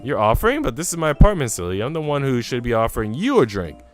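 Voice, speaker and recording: A man voicing a kind, tired working mother, gently teasing, in a visual novel read-aloud. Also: medium pitch, very animated, fast, faint music behind, lightly acted.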